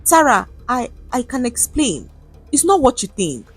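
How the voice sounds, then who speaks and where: A woman's voice: a little high, very animated, unhurried, husky, faint music behind, voice pushed up, heavily acted. A woman with a Nigerian accent voicing a nervous, pleading teenage schoolboy who stammers in a story. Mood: startled, defensive.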